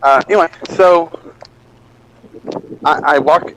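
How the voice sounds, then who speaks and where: A man's voice: on the high side, slow pace, fairly bright tone, slight background noise, low-quality recording. A middle-aged man telling a rambling, self-deprecating anecdote in a casual way, acting out bits of dialogue. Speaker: storyteller.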